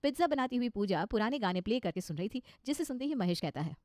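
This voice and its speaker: High, brisk woman storyteller. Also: animated, a little mellow, no room sound, studio quality. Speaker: story narrator. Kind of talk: scripted storytelling narration for cartoon.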